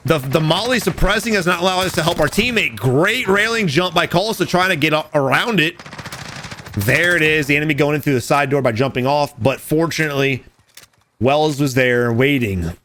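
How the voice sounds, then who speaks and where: Bright-voiced man commenting on gameplay. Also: mid-range voice, slight background noise. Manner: animated, profane, talks straight to viewers. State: losing his voice. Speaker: gaming commentator.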